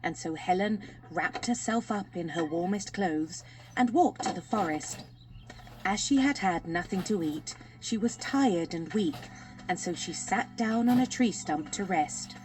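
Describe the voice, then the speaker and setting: Slightly low-voiced woman storyteller. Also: animated, unhurried, darkish tone. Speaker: audiobook narrator. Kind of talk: scripted children's fairy tale narration.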